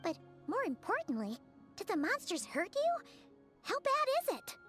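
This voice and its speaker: A tiny chirpy fairy girl from a fantasy game, with a very high, bright and lively voice. She teases and pries cheerfully, calling herself by name, with soft game music under her. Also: moderate pace, full character voice. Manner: bubbly, teasing, talks about herself in third person. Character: tiny floating girl companion, chirpy and nosy. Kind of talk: game dialogue.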